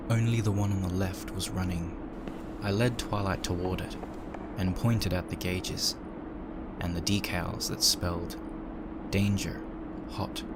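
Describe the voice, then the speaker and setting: Male narrator for dark audio fiction, deep register, somber and measured, heavy sound effects behind him. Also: heavy background noise. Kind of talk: dramatic first-person story narration. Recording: loud engine and ambient sound effects. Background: background sound effects of ambience and machinery.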